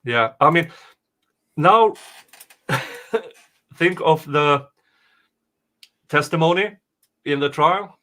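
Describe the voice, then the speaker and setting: A man with a bright, forceful voice of average pitch takes the part of co-host. He reads written passages aloud and talks about them in a casual way. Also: very slow, long pauses. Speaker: podcast co-host reading a document aloud. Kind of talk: reading aloud with casual chat.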